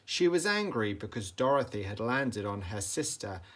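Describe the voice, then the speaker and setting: A man reading a children's bedtime story aloud with dramatic, deliberate emphasis for young listeners. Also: fairly low pitch, lively intonation, fairly bright tone. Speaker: storybook reader, teacher.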